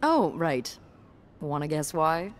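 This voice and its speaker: Sassy hotel front-desk gossip for a narrative game: low female voice, wry and blunt, faint noise behind. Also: very animated, takes it slowly, full character voice. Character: sassy, gossipy young woman hotel receptionist. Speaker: video game character. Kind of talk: natural conversational game acting.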